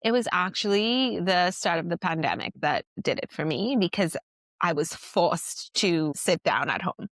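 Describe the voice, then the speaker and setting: A woman's voice: fairly low pitch, expressive, a little slow, a bit bright, no room sound, studio quality. A woman from Trinidad and Tobago, a podcast guest, talking casually and reflectively, with lots of filler words and restarts. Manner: chatty, many "like" fillers and self-restarts. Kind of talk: casual reflective interview talk.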